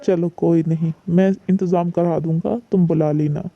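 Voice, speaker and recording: A woman voicing a young husband who gently pleads with his mother and reassures his wife, in a low, gravelly put-on voice. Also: steady tone, normal speed, no echo. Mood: reassuring. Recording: dull lo-fi recording.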